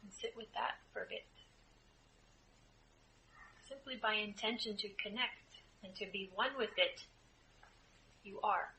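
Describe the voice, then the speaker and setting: Slow, bright-voiced woman guiding meditation. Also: mid-range voice, rough recording. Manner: measured, deliberate phrasing with frequent pauses. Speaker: spiritual channeler leading group meditation. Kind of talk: slow guided meditation, speaking as channeled spirit. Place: indoor room, live group setting. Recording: live group room, clear background noise.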